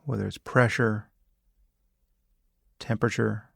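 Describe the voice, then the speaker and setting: A man with a fairly low voice and a noticeable creak guides a meditation. He speaks as a teacher, calm and deliberate, leaving long pauses between short phrases. The sound is dry, with no room around it. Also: no echo. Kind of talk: guided meditation, spoken instruction.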